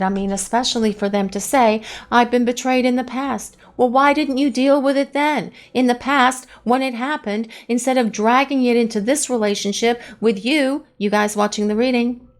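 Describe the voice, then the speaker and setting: Hoarse woman doing a tarot reading. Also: on the high side. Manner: earnest, chatty, pleading questions to the cards. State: hoarse, losing her voice from allergies. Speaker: tarot reader. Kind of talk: channeled love tarot reading to camera.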